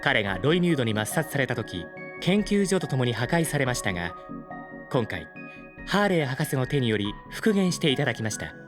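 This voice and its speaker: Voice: mid-range voice, big pitch swings, lightly acted. An actor as a formal, courteous artificial intelligence, music behind. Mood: calm, courteous. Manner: formal, polite, measured speech. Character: polite, formal artificial intelligence, calm and unemotional. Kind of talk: scripted audio drama acting.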